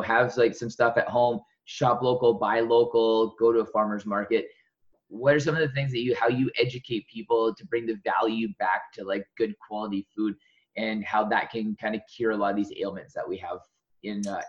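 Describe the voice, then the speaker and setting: A man hosting a podcast rambles through long, meandering questions, filling them with "like" and "you know." His voice comes through slightly dull. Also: mid-range voice. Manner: rambling, many filler "like"s. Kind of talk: podcast interview.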